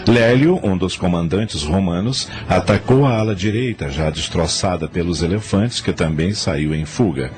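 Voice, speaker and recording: Deep-voiced male radio drama narrator. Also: moderate pace, hardly any pauses, darkish tone, soft background music.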